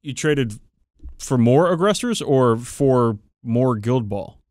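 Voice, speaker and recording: A male podcast co-host with a mid-pitched voice, recorded dry and close. He talks casually about his hobby with keen enthusiasm and plenty of swearing. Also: lively intonation, takes it slowly, a little mellow, no room sound. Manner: informal, profane, enthusiastic.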